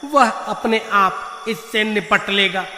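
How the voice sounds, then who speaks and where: A man playing an excitable, cowardly village man in a children's moral story, exclaiming theatrically. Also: high voice, takes it slowly, exaggerated voice. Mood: worried, scheming. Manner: loud calling, exclaiming, theatrical. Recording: echoey reverberant sound, faint noise.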